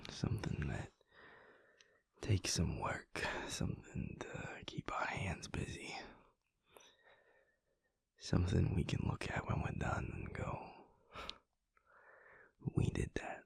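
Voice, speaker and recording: Guy doing a werewolf boyfriend, super low and gravelly, hushed and close, audio rp. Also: very animated, slow, pauses often, no echo, whispered, exaggerated voice. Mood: tender. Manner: soft, hushed, confiding. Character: caring werewolf boyfriend, young adult male. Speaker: audio roleplay performer. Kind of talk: intimate audio roleplay.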